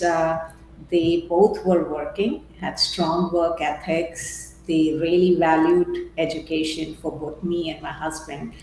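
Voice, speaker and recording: A middle-aged woman from India, speaking as an interview guest in a measured, thoughtful way with some fillers and restarts. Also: on the low side, darkish tone. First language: Punjabi. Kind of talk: interview conversation. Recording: very echoey room with faint noise.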